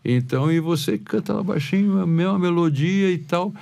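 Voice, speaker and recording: A man's voice: on the high side, moderate pace, gravelly, darkish tone. A Brazilian man, a pastor and podcast guest, telling stories in a rambling way with many restarts. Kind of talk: casual podcast conversation.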